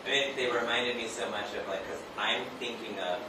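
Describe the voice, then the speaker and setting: A man's voice: mid-range voice, fairly even intonation, fairly quick. A man from Hawaii speaking from the audience at a live event, telling a casual, rambling anecdote as he works toward a question. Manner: rambling, conversational, frequent "like" and "right?". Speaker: audience member, a teacher, asking a question. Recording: distant, very echoey, noisy room recording.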